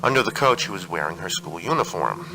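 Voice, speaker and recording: A man's voice: sits low, animated, fast, music in the background, rough recording. A man reading a piece of fiction aloud as a narrator, in a scripted audiobook style.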